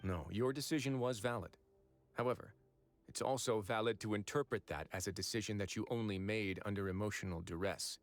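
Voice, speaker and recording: A man voicing a composed, calculating male warden in a game who speaks in a measured, reflective way. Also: on the low side, lightly acted. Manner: measured, reflective, explains reasoning at length. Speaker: game character. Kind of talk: game voice acting. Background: soft game music.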